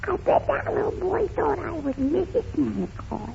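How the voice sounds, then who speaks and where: A woman playing a mischievous, tender little girl with drawn-out, ungrammatical baby talk in a 1930s radio comedy. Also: extremely high pitch, very animated, creaky, exaggerated voice. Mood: sweet, earnest. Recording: scratchy, muffled 1930s radio recording.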